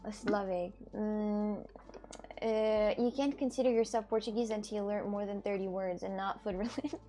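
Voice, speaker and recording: A woman's voice: mid-range voice, steady tone, normal speed, mellow. A woman from Russia with a Russian accent, streaming in casual chat, arguing and breaking into disbelieving laughs and cries.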